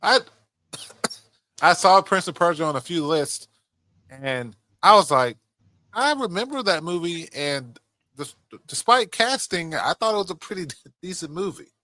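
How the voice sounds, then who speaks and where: A man's voice: sits high, very animated, measured pace, pauses often, pushed and bright, no room sound. A man hosting a podcast in casual chat, swearing freely as he talks. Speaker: podcast host.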